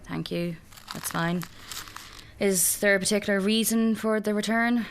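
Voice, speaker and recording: A woman's voice: medium pitch, steady tone, a little slow, clean tone, close to an everyday voice. An Irish woman playing an anxious, downbeat young shop worker, guarded and apologetic, in a radio drama. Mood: polite, hesitant. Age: young adult. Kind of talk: naturalistic radio drama acting.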